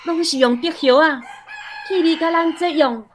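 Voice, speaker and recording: A woman's voice: high voice, normal speed, close to an everyday voice. An actress playing a nosy, agreeable village neighbour woman with a Taiwanese accent in a radio drama, chatty and full of interjections. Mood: agreeing, chatty.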